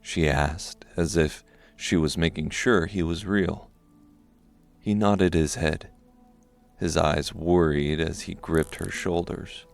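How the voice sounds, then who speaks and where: A man narrates a dark fantasy audiobook from a script. His voice is low, with a gravelly creak running through it. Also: fairly even intonation, slow, soft background music.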